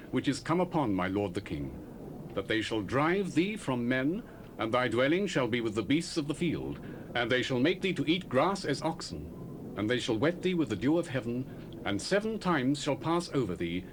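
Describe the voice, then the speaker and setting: A man's voice: mid-range voice, close to an everyday voice. A man playing a wise prophet who gives grave, earnest counsel to a king. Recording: cassette tape hiss.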